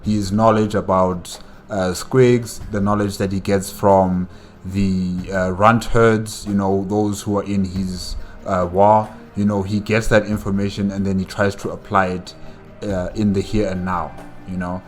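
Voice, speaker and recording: A man's voice: sits low, level tone, measured pace, faint music behind. A man hosting a reaction video, chatting casually with many fillers and laughs. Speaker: reaction channel host.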